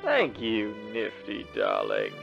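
A man voicing a pompous, prickly, old-fashioned demon gentleman with formal, theatrical delivery in a cartoon comic dub. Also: lowish voice, huge pitch swings, slow pace, vocal fry, highs cut off, faint music behind, full character voice. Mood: guarded, polite. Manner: pompous, formal, clipped indignation.

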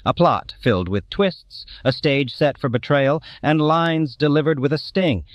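Man, scripted show host, higher-pitched, dull lo-fi recording. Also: a little slow, no room sound. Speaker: host introducing the episode. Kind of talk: scripted promotional narration.

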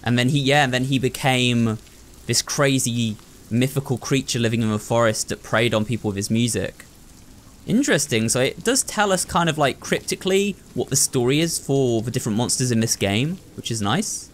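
A man's voice: medium pitch, lively intonation, normal speed. A man hosting a video game playthrough, thinking aloud and talking to viewers in a running commentary. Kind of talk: excitable gameplay commentary.

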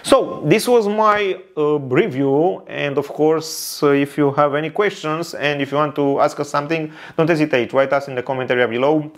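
Romanian man, 30s, accented non-native English, unhurried tech tutorial, lots of "uh" and restarts, seated at a desk in a studio. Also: fairly high pitch. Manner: careful non-native English with frequent uh fillers and repeats. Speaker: tech reviewer. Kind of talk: product review tutorial. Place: indoor studio with neon lights and shelves.

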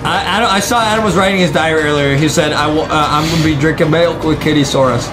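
A young man hosting a gaming video, giving excitable, teasing, slangy gameplay commentary. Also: on the high side, quick pace. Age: young adult. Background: music.

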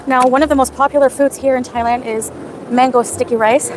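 A woman's voice: sits high, steady tone, fairly quick, a little hiss or hum, low-quality recording. Chatty woman streaming from a night market. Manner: chatty, reads chat names aloud while eating. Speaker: livestreamer. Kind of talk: casual live stream chat. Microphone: phone at arm's length. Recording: outdoor phone stream with loud background music.

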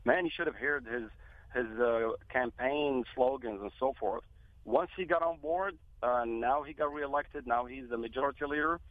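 A man's voice: medium pitch, normal speed, dry recording. A man from Iraq, a guest on a political talk radio show, speaking earnestly with many fillers and repeated words. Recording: tinny phone line, dry.

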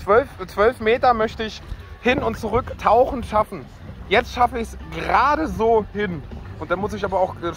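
A man, chatting casually and excitably in slang to a phone camera as a vlogger. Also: high-pitched. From Berlin, Germany. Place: outdoors on holiday, by beach, pool and roads. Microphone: phone at arm's length. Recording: noisy outdoor phone recording.

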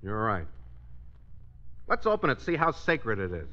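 Mischievous, very animated radio sidekick. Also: male voice, fairly low pitch, unhurried. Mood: sly. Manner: wisecracking, mock-serious comic delivery. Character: mischievous, wisecracking sidekick, troublemaking pal. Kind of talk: 1950s radio comedy acting. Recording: very noisy, muffled 1950s radio recording.

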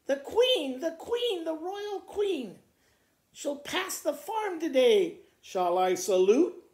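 A man's voice: very high voice, very animated, takes it slowly, darkish tone, roomy sound, voice pushed up, full character voice. A man voicing a flustered, fretful farmer from a children's storybook poem, exclaiming and repeating himself.

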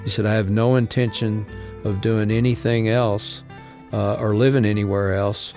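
A man's voice: fairly low pitch, flat delivery, normal speed. A man, talking reflectively as an interview guest. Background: soft guitar music.

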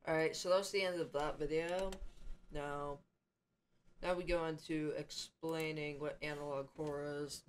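A man's voice: on the high side, little pitch movement, unhurried, faint music behind. A young man giving casual reaction commentary as the host of a video, recorded in a room at home. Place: small home room, indoors.

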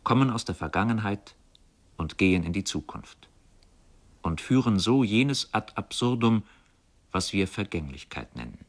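A man narrating a literary radio piece as a contemplative storyteller, in a measured, lyrical manner. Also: fairly low pitch, very animated. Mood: solemn. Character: contemplative male narrator recalling river boyhood.

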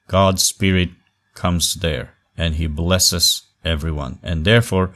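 A man teaching an online class in a voice-over on slides, speaking in short measured phrases with emphatic pauses. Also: sits low. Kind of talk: recorded online class lecture.

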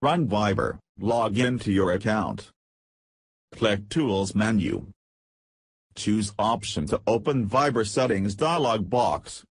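Guy reading tutorial steps, really slow and measured, voice a bit husky, dry sound. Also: lowish voice, dry recording. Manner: measured, instructional. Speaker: software tutorial narrator. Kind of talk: scripted step-by-step narration.

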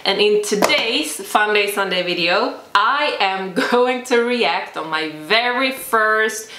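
A woman's voice: mid-range voice, animated, takes it slowly, background noise. A woman in her thirties from Sweden, a Swedish speaker with a Swedish accent, chatting casually to camera as a fitness vlogger with frequent fillers and laughs. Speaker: fitness YouTuber. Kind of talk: casual vlog chat to camera. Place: bright indoor room with a plain grey wall. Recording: echoey room.

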